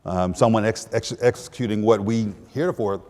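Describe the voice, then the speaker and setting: A man from Texas, speaking as a guest on a political panel, halting and repeating his words with many fillers. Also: lowish voice, a little mellow, big-room sound. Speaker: panel guest, attorney general. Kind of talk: panel discussion.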